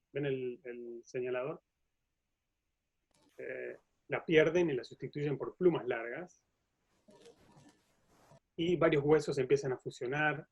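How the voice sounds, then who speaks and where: A man's voice: mid-range voice, darkish tone, breathy edge. Male lecturer, Uruguayan accent, mid-range voice, informal explanatory delivery, slightly dull recording. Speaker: museum curator and professor giving a talk. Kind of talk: informal science lecture with slides. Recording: dull, lo-fi audio.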